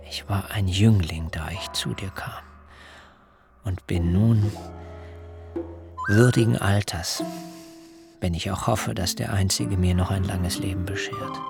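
Radio drama: aggrieved older patriarchal herdsman, low gravelly voice, archaic oratory, slow delivery over faint music. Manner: archaic, rhetorical, deliberate. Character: aggrieved ageing biblical herdsman, pouring out righteous anger. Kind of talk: literary radio drama acting.

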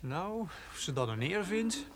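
An actor playing a farmer's easygoing, flippant son in a radio play, talking casually. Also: male voice, high voice, big pitch swings, a little slow, heavy background noise.

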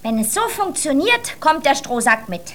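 A woman's voice: high voice, sing-song, fairly bright tone. An actress playing a bossy, confident little girl who is a princess in a children's radio play. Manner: commanding, brisk, self-assured.

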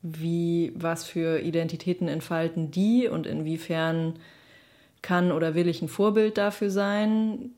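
A woman's voice: on the low side, steady tone, unhurried, warm tone. A woman answering reflectively in an interview, hesitant and thoughtful.

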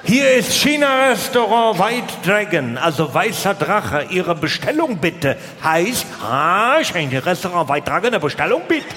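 A man's voice: sits high, big pitch swings, a little slow, few pauses, pushed and bright, full character voice. A male comedian on stage voicing a garbled, hard-to-understand Chinese restaurant worker taking phone orders. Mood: slow, formal. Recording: reverberant live stage hall with noise.

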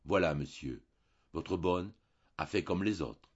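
A man's voice: sits low, slow, slightly rough, highs cut off, full character voice. A man voicing a chatty, moralizing old country priest who turns sly and jovial. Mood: preachy.

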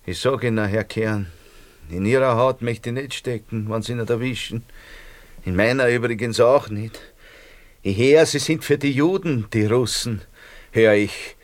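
An actor playing a nervous, self-justifying barber in a radio drama, rambling colloquially with a Viennese accent. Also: male voice, medium pitch, moderate pace, no room sound, lightly acted. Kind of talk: naturalistic radio drama dialogue.

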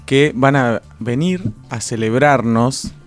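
A man's voice: medium pitch, takes it slowly, soft background music. A man, presenting and interviewing as a radio host.

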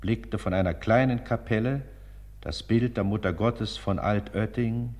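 A man narrating a scripted religious life story in a solemn, measured manner, with long reverb around him. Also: on the low side, darkish tone. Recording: long reverberant hall sound.

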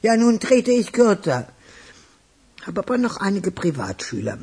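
A woman playing a chatty, proud retired music teacher in a radio drama, teasing and theatrical, with a husky older voice. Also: mid-range voice, very animated, mellow, exaggerated voice. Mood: proud, wistful. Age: 60s or older. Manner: teasing, drawn-out, theatrical delivery. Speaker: actor in a radio crime drama.

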